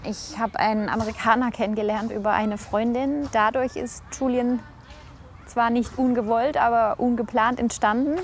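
A woman's voice: fairly high pitch, fairly even intonation, normal speed. A woman speaking frankly and reflectively in a documentary interview, with some hesitant fillers. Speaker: reality documentary participant. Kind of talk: personal interview narration. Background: background music.

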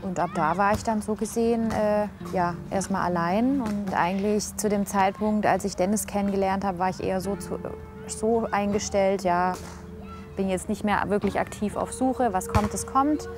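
A woman tells her story frankly and reflectively, at a mid-range pitch, pausing with small fillers. Music plays clearly underneath. It sounds like a sit-down interview. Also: fairly even intonation. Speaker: reality documentary participant. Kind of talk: personal interview narration. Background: background music.

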